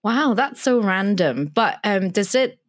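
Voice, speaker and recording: A woman's voice: medium pitch, animated, unhurried, no room sound, studio quality. A young woman hosting a podcast, interviewing her guest in a casual, conversational style. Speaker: podcast host.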